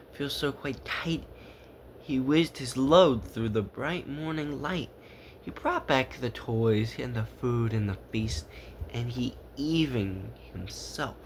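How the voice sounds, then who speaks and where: Male storyteller for children's read-aloud, slow and halting, amateur stumbles, home recording with faint noise. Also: mid-range voice. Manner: halting, stumbling over the text.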